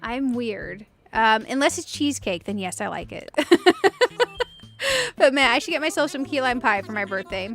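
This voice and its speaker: A woman in her late thirties with a high voice that sounds younger than her age. She rambles in a chatty, giggly way, as a streamer talking to viewers during a game. Music plays behind her. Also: lively intonation, normal speed, fairly bright tone. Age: late 30s. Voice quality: youthful-sounding voice. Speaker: streamer and video game host. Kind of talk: casual livestream chat over video game play.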